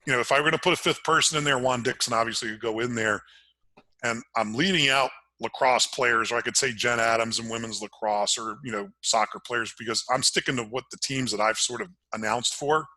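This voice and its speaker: Bright, forceful older American man. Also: mid-range voice, a little fast, no room sound, rough recording. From Maryland, United States. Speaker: sports announcer, podcast guest. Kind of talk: conversational sports interview.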